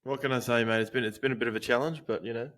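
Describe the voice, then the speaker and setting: A man's voice: medium pitch, fairly even intonation, a bit bright. A young Australian man hosting a podcast, talking casually with lots of swearing, fillers and restarts. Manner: laid-back, swears a lot, halting with fillers. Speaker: podcast host.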